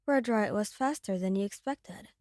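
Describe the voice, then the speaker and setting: A woman's voice: medium pitch, moderate pace, a little mellow, no echo, clean studio sound. A woman reading scripted dramatic narration as the storyteller of an ASMR audio roleplay.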